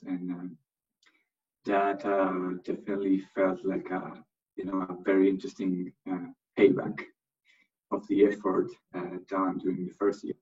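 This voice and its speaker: A man's voice: low voice, recorded from a distance, lo-fi sound. A young man from Chile answering interview questions, speaking hesitantly with many fillers and restarts. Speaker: interview guest, MBA graduate.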